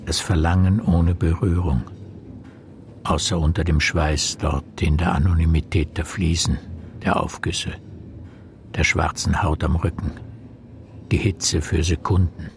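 A man's voice: deep voice, level tone, a little hiss or hum. An actor playing a brooding man who questions and accuses insistently, in a poetic German radio play. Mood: probing. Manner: literary, deliberate, insistent questioning.

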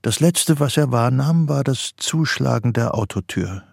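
Gravelly male radio-play narrator. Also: mid-range voice, animated, measured pace, a little mellow, no echo, studio quality. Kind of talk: scripted literary narration in a German radio play.

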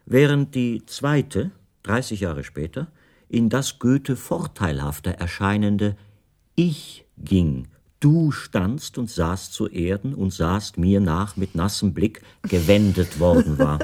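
Literary man for radio drama: low, mellow, deliberate, reflective. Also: full character voice. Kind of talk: scripted literary radio play recitation.

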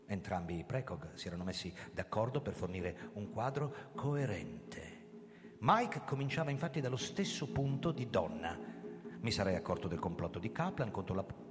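Sardonic old-hand police commissioner, low voice, big swings in pitch, radio play with music behind. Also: male voice. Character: embattled police commissioner, sardonic, commanding, worldly-wise older man. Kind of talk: radio drama acting and first-person narration. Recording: reverberant stage sound, loud music behind.

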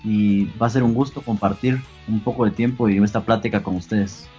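A man in his twenties from Guatemala with a Guatemalan Spanish accent, answering interview questions earnestly, pausing often with fillers. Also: fairly low pitch, fairly even intonation, a little mellow, highs cut off, soft background music. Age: 20s. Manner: earnest, pauses with many fillers. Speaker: guest athlete.

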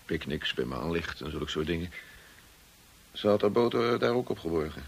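An actor in a Dutch radio drama playing a kind, discreet and loyal estate manager, courteous and measured in his speech. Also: low-pitched, lively intonation, vocal fry, slightly rough, heavily acted. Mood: sober, reticent.

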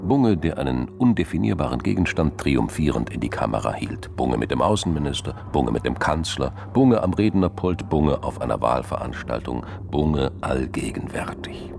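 A man narrating a radio crime drama in measured short phrases, with noise behind his voice. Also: rumbling low, very animated, creaky, heavily acted. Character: male narrator of a political crime story.

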